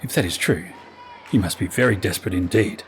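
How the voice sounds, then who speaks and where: A man's voice: deep voice, fairly quick, vocal fry, a faint crowd, slight background noise. A man acting a wary, menacing thief and gang leader with formal, guarded speech in a fantasy audio drama. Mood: wary, stern.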